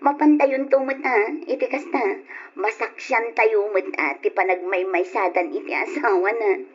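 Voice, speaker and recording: A woman playing a young female confidante in a radio drama, with a breathy voice. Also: mid-range voice, moderate pace, close to an everyday voice. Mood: cheerful. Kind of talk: old-style radio drama acting. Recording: dull lo-fi radio drama audio.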